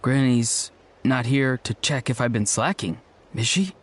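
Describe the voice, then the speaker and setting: A woman's voice: extremely low pitch, animated, a little slow, noisy background. A woman voicing a laid-back young fighter in a video game, casual in delivery.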